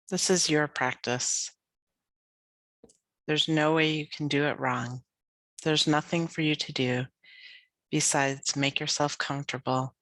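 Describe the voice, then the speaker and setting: A woman leading a guided yoga nidra meditation as a teacher, speaking gently and soothingly with unhurried phrases and long pauses. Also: deep voice, no room sound.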